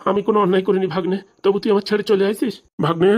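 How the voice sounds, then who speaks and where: A woman's voice: medium pitch, steady tone, very fast, darkish tone, close to an everyday voice. A woman playing an elderly, sorrowful uncle in a comic cartoon, with a pleading, apologetic manner. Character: elderly, sorrowful, apologetic uncle begging his nephew's forgiveness.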